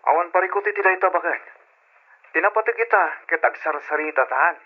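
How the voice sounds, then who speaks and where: A man's voice: high voice, animated, brisk. A man with a Filipino Ilocano accent playing an eager, affectionate husband phoning from away in an old-time radio drama, through a telephone filter. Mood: affectionate, excited. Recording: tinny phone line in old radio drama.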